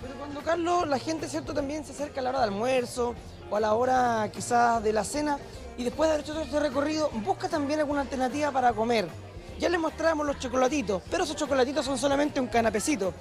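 Upbeat fast-talking Chilean reporter. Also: male voice, very high-pitched. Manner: upbeat, persuasive, addresses viewers directly. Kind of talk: promotional TV fair walkthrough. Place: indoor craft fair hall. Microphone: handheld mic. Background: background music.

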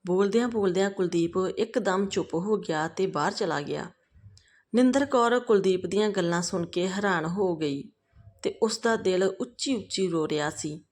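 A woman's voice: medium pitch, normal speed, darkish tone. A woman reading a scripted family moral story as a storyteller.

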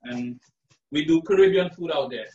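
Man from Trinidad, chef, chatty and relaxed, higher voice, roomy kitchen sound from far off, dull lo-fi. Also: normal speed. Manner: relaxed chatty explainer, many fillers. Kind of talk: live cooking demo. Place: home kitchen indoors. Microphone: far camera, video call.

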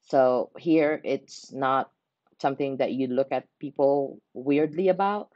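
Mellow-voiced woman, unhurried. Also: rumbling low, no echo, lo-fi sound. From Philippines. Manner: unhurried, pausing to search for words. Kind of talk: unscripted reflective monologue. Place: small indoor room by a window.

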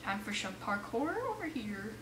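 A woman giving casual let's play commentary, excitable, exclaiming and muttering to herself as she plays. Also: mid-range voice, moderate pace. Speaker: gamer playing an adventure map for viewers. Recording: roomy echo, distant mic, faint noise.

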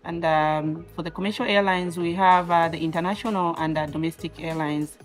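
A woman around her thirties with a Zambian accent, explaining air traffic work in an interview in short, halting phrases. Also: on the low side, fairly even intonation. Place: radar control room, indoors. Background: background music.

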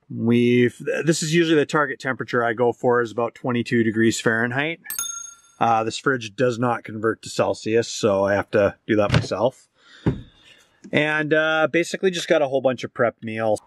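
Male vlogger, thirties, medium pitch, informal rambling chat, dry open-air sound. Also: normal speed, fairly bright tone, dry recording. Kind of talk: casual outdoor vlog chat. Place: outdoors beside and inside a parked truck. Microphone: handheld camera at arm's length.

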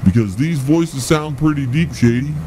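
A man playing a level-headed redneck know-it-all with a calm, pedantic manner in a comedy audio drama. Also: mid-range voice, normal speed, slight background noise, lightly acted. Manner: calm, matter-of-fact, pedantic.

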